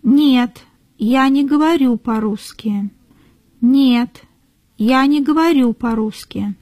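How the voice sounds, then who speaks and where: A woman reading model sentences for learners in a careful, deliberate way, repeating each phrase. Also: high-pitched, darkish tone, no room sound. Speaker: native-speaker model reader.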